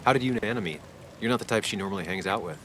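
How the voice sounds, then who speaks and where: An actor playing a dogged, reserved ex-reporter investigator in a video game, speaking in terse, understated lines. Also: male voice, lowish voice, rapid-fire, a bit bright, slight background noise, natural voice, rough recording. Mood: guarded, probing. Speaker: player-character investigator, former reporter. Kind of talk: video game dialogue and inner monologue.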